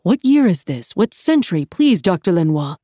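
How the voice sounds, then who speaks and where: A woman playing a modest, bewildered American male professor, questioning and halting, in a storybook reading. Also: lowish voice, very animated, a little mellow, no room sound, studio quality. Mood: urgent.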